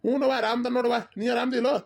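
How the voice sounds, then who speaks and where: A man's voice: extremely high pitch, flat delivery, very fast, vocal fry, full character voice. A man voicing a blunt, sceptical village uncle in a cartoon comedy, speaking emphatically with a North Karnataka accent. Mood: friendly.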